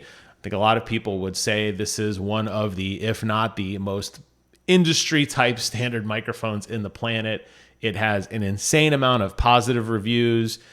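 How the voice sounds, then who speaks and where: Male gear reviewer, lowish pitch, explains casually, leans on key phrases for emphasis. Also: a bit bright. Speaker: video creator presenting audio gear. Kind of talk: casual explanatory tech vlog.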